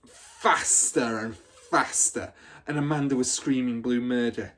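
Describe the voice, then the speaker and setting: A man's voice: mid-range voice, steady tone, slow pace, a bit bright, low-quality recording. A man reading a children's story aloud as a teacher would, with dramatic, emphatic expression.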